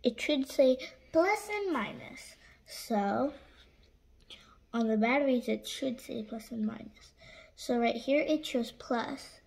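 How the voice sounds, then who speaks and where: A woman's voice: a little high, lively intonation, slow pace, vocal fry, darkish tone. A young girl hosting a casual hands-on toy unboxing indoors at a table. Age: child. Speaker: toy review host. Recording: clicky, noisy home recording.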